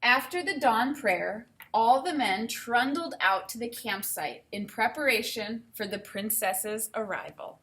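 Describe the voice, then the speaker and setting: Woman, primary-teacher read-aloud: slightly high pitch, very bright tone, expressive and keen, explains things to young listeners. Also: measured pace, hardly any pauses. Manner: enthusiastic, explaining to children. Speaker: teacher reading a picture book aloud. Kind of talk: expressive children's read-aloud with instructional asides.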